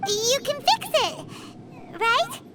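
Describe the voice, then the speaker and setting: A woman voicing a tiny, chirpy floating fairy companion in a game, excitable and chatty, talking about herself in the third person. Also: extremely high pitch, slow, very noisy, full character voice. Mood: curious. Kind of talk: game voice acting.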